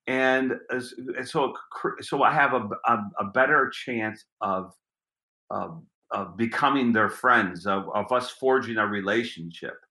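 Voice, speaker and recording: Gruff, bright-voiced man in echoey room. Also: medium pitch, unhurried. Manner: rambling, repeats words while thinking. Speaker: street magician. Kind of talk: interview answers.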